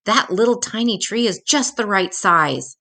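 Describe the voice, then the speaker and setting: A woman's voice: mid-range voice, animated, unhurried, vocal fry, pushed and bright, full character voice. A woman voicing a grumpy, brazen female hedgehog in a children's storybook, exclaiming as she speaks. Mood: brazen delight.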